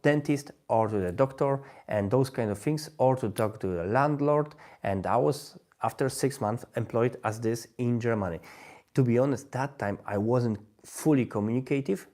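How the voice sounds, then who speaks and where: Halting man with a Polish accent. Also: fairly low pitch, warm tone. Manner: halting, searching for words, many restarts. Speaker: language-learning livestream host. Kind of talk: live stream monologue.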